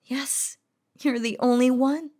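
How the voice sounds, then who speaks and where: A woman's voice: high-pitched, fairly even intonation, slow, warm tone, voice pushed up. A woman voicing a possessive, commanding demon queen in a romantic audio roleplay, dramatic and by turns threatening and doting. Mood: menacing.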